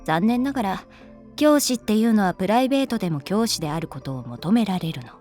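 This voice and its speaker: Woman playing female schoolteacher, audio drama, animated intonation, mid pitch, brisk, teasing, firm, coolly pragmatic, faint music. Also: natural voice. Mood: cool, stern. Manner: shifts between polite firmness and casual bluntness. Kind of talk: Japanese audio drama acting.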